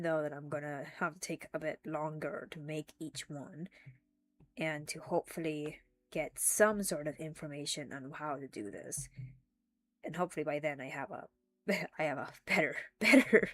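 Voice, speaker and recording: A young woman streaming live, talking casually and rambling with frequent restarts and giggles, a little congested. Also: sits low.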